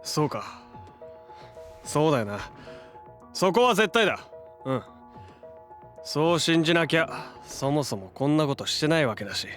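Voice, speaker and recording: A cocky young action hero in an audio drama, his voice high for a man. He talks in casual, punchy, confident phrases, with soft music behind him. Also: big pitch swings, normal speed, long pauses. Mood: reflective. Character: cocky, loyal young male hero, quick-witted. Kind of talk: Japanese audio drama acting.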